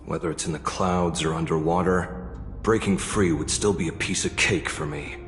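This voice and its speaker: An actor playing a brash, defiant ex-prisoner in a video game, curt and boastful. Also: male voice, low voice, moderate pace, lightly acted. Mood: cocky. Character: brash, defiant male ex-prisoner, cocky and terse. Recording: long hall reverb, music behind.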